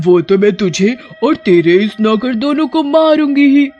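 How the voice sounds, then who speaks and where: A woman voicing a gloating evil witch in a horror cartoon, taunting her victims with drawn-out threats and cackles. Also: sits high, darkish tone, muffled recording, voice pushed up, exaggerated voice. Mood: taunting, menacing. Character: gloating evil witch who hunts villagers. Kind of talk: Hindi horror cartoon villain acting.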